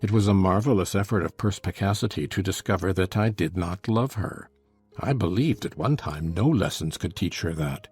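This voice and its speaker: An actor playing a scornful, cruel, brooding husband in a dramatized gothic novel, speaking with deliberate, sardonic contempt. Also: male voice, low-pitched, darkish tone, husky, soft background music. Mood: cold contempt. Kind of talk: dramatized audiobook acting.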